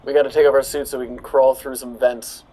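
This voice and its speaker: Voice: medium pitch, little pitch movement, fast talker, mellow. A tabletop role-player bantering casually, half in character, during a game.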